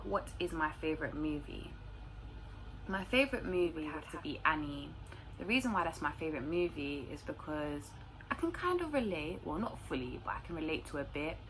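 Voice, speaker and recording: A woman with a London accent and a low voice talks casually to camera, answering viewer questions. Her way of talking is informal and slangy, and there is some noise in the recording. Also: animated, a little fast, a bit bright. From London, England. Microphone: phone recording. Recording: clearly noisy room recording.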